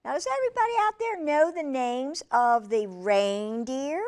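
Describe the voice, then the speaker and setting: Slow-paced woman reading stories to children. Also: sits high. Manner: deliberate, expressive, addressing young listeners. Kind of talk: read-aloud children's storytime.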